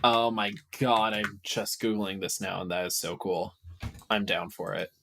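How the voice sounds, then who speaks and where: A man with a slightly deep voice explains his ideas in a loose chat. His wording is slangy and informal, like someone telling a story among friends. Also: fairly even intonation, measured pace, gravelly, bright, forceful tone, slight background noise. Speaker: podcast guest.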